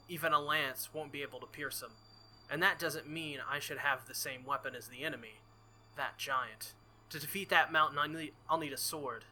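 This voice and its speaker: Bright-voiced man reading a game aloud. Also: a little high, poor audio. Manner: dramatic reading broken by casual asides. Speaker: let's player. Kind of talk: visual novel playthrough, reading aloud with reactions.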